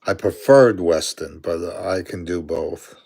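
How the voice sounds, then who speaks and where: A Brooklyn-accented man in his sixties talks slowly and easily in a lowish voice. He is chatting on a live stream, answering viewer comments aloud and chuckling often between replies. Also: gravelly, a little mellow, breathy edge. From Brooklyn, New York. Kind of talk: casual live-stream chat.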